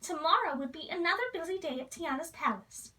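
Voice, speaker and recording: A woman reads a children's story aloud in an expressive storybook style, playing the role of a princess. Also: very high-pitched, a little fast, recorded from a distance.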